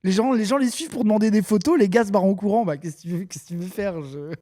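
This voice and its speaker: Brief: man, mocking stream commentator, mellow tone, dry close mic. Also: high-pitched, fast, no echo. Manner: mocking, laughing, sarcastic asides. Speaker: streamer reacting to a video. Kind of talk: live-stream reaction commentary.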